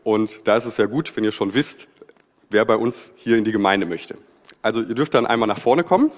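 Relaxed joking pastor in a church hall. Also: male voice, on the low side, a little fast. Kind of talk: leading a church service.

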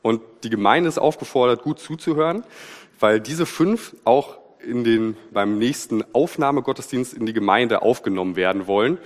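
A man leading a church service as pastor, relaxed and joking. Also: medium pitch, moderate pace. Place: church hall. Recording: reverberant church hall.

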